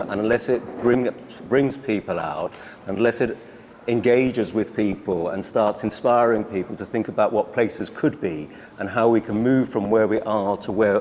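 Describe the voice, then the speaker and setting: A man's voice: fairly low pitch, a little mellow, slight background noise. A middle-aged man speaking as a panelist at a public talk, earnest and repetitive, with frequent restarts. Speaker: panelist, urban developer.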